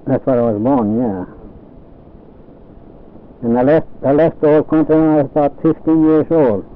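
Man in his seventies. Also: fairly high pitch. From Norway. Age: 70s. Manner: halting, plain-spoken reminiscing. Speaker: interviewee, old-time pioneer. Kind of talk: oral history interview. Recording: tinny old tape with faint hiss.

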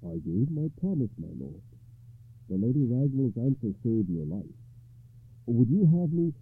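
A man's voice: mid-range voice, big pitch swings, measured pace, husky, dry recording, pitched above the performer's own voice. A man playing an earnest young knight. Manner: earnest and courteous. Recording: dry phone-like muffled sound.

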